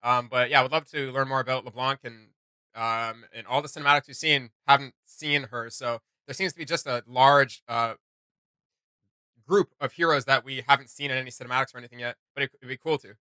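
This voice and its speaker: A man's voice: medium pitch, fairly even intonation, brisk, pushed and bright, clean tone, dry recording. A man hosting a reaction video, giving enthusiastic, slangy, off-the-cuff commentary.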